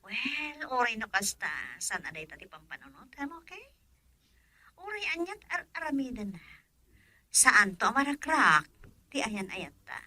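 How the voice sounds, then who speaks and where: A woman's voice: a little high, big pitch swings, measured pace, long pauses, bright, forceful tone, exaggerated voice, poor audio. A woman playing a young, defiant daughter in love, with emotional, pleading radio drama delivery and an Ilocano accent. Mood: tender, troubled.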